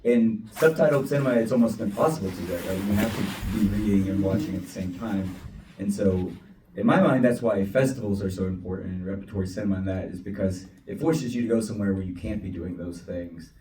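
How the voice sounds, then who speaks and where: Low, mellow male moderator, roomy sound. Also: brisk. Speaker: panel moderator. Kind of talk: conversational panel questioning. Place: panel event room with ambient noise. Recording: echoey room, distant, faint noise.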